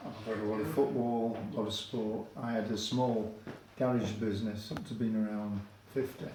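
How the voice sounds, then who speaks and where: An older man from England, telling his story in an interview, hesitant and halting with many fillers. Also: fairly low pitch, steady tone, mellow, echoey room, slight background noise, rough recording. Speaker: guest.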